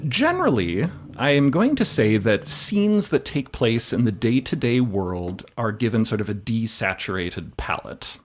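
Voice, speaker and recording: A man's voice: mid-range voice, big pitch swings, a little slow. A man playing as a guest in a tabletop game, explaining his ideas casually and thoughtfully as he thinks aloud. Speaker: guest player and writer.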